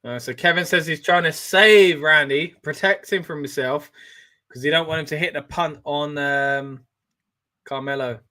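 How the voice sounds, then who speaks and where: A man hosting a live watch-along, chatting excitedly and reacting as he reads out viewer comments. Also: on the high side, moderate pace. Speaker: wrestling watch-along host.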